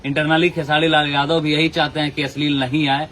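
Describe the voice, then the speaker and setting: A man's voice: fairly high pitch, little pitch movement, brisk, fairly bright tone, slight background noise. A man hosting a channel, talking earnestly and pleading with viewers. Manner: earnest, pleading, folded-hands appeal. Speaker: channel host. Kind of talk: casual commentary to viewers.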